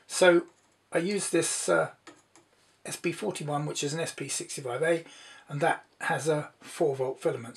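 Male hobbyist presenter, fairly high-pitched, informal explanatory delivery with a meandering, hesitant manner. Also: vocal fry. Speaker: presenter, hobbyist radio builder. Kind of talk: informal demonstration narration.

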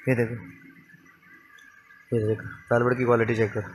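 A man's voice: medium pitch, little pitch movement, normal speed, a little hiss or hum. A young man from Pakistan, running a casual sales vlog in a small indoor clothing shop and repeatedly urging viewers to look at the goods and call. He records himself on a phone held close. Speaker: vlogger selling used clothing bundles.

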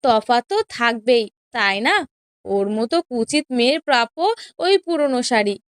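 Casting: spiteful, bossy village wife for a cartoon woman actor, high and clear, scolding, sneering delivery. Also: expressive, moderate pace, natural voice. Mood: smug, pleased. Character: haughty, spiteful younger co-wife in a village household. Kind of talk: children's cartoon story dialogue.

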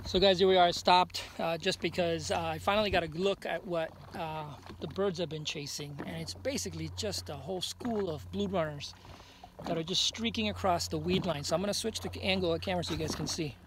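A fairly high-voiced man in his forties films himself fishing out on open water, chatting to his viewers in an excited, casual way. Heavy engine and water noise roar around him, picked up by a camera mounted on his jet ski. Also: brisk. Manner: excited, talks to viewers as guys. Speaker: fishing vlogger. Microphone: action camera mounted on the craft.

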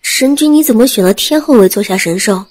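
A woman's voice: fairly high pitch, lively intonation, a little fast, a little mellow, pitched above the performer's own voice. A woman voicing a frank, self-deprecating young phoenix in an audiobook, speaking in an informal, protesting manner. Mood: puzzled, probing. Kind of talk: audiobook character acting.

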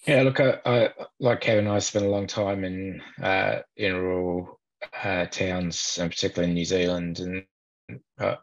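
A man's voice: low-pitched, level tone, moderate pace. A middle-aged man on a video call, candid and practical as a panelist, his audio dull and lo-fi. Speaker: panelist, board chair. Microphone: webcam on video call.

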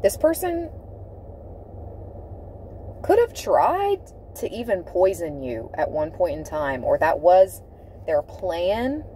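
Slow, mellow-voiced woman reading tarot cards. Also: fairly low pitch, big pitch swings, slight background noise. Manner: slow, dramatic, emphatic delivery with repeated phrases. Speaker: tarot reader. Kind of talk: tarot card reading to camera. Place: inside a parked car.

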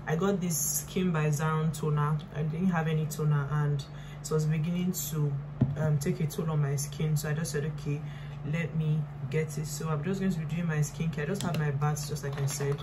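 Young nigerian lady chatting to her phone camera, deep-ish voice, repeats words a lot, hummy noisy room. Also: steady tone, moderate pace. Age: 20s. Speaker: lifestyle vlogger. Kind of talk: casual chat to camera. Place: small bright room indoors. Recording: clear background noise and hum.

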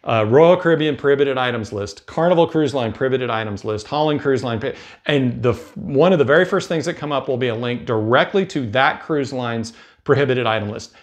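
A man's voice: medium pitch, brisk. A man in his fifties with an American accent, talking casually and explaining things as a video host. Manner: conversational, explanatory. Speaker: cruise advice video host. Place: indoor room with bookshelves. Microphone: lapel mic.

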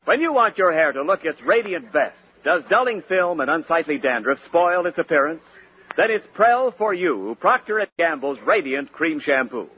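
Animated high-voiced American radio announcer man. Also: normal speed, slightly rough. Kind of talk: 1940s radio announcing, scripted. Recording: dull old radio recording with music.

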